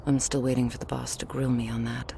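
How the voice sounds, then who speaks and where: A woman plays a guarded female vampire bodyguard with a very low voice full of gravelly creak. She speaks in a hushed, dry, terse way for an ASMR roleplay, unhurried and stern, over faint ambience. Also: flat delivery, a little hiss or hum.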